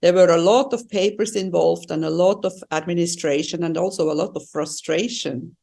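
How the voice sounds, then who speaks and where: A woman's voice: fairly low pitch, moderate pace, gravelly, warm tone. A middle-aged woman from Switzerland co-hosting a podcast in casual, conversational travel talk. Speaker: podcast co-host.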